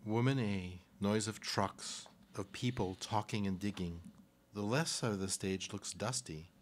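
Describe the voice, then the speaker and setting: A man's voice: on the low side, a little slow, slight background noise. A man narrating stage directions for a live radio play in a scripted, read-aloud style.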